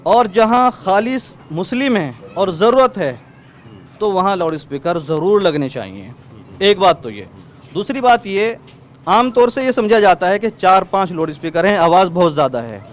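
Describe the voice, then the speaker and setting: Male community spokesman, high voice, western Uttar Pradesh accent, emphatic and explanatory, recorded outdoors with slight noise. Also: sing-song, normal speed. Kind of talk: explanatory street interview answer. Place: outdoors in a residential lane. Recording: faint outdoor street noise.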